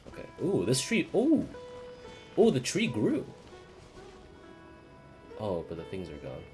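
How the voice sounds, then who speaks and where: Very low-voiced, animated woman game streamer. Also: slow. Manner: sweary, emotionally reactive, talks to the game. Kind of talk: casual live playthrough commentary. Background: game music.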